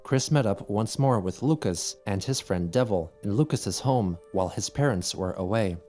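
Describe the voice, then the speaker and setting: Low-voiced male narrator reading a script. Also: animated, moderate pace, dark tone, faint music behind. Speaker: video narrator.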